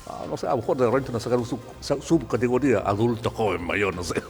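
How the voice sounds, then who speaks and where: A man's voice: medium pitch, fast talker, faint music behind. A Chilean man in his sixties, a TV host chatting casually, playful and joking. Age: 60s. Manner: playful, jokey, animated. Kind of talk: casual studio chat.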